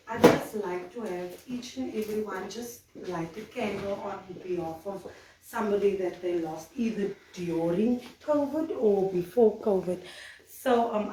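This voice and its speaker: Hesitant woman, echoey room. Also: mid-range voice. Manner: hesitant, many fillers. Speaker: citizen journalist. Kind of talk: speaking to a community gathering. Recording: echoey room, distant mic, background noise.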